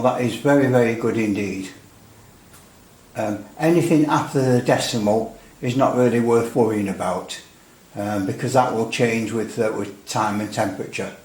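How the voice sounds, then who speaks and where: Roomy echo, man, medium pitch, casual hobbyist demonstration, chatty, hesitant, rambling, dryly humorous. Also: darkish tone. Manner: chatty, rambling, hesitant delivery with dry humour. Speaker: radio hobbyist presenting a repair demonstration. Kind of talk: casual, unscripted technical demonstration. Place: indoors at a workbench. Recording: echoey room.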